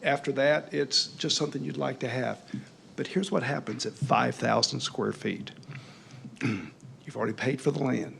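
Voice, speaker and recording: Gravelly-voiced man pitching to council. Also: measured pace, fairly bright tone, slight background noise. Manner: confident, folksy, persuasive. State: losing his voice, clearing throat. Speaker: developer presenting to council. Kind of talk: public meeting presentation.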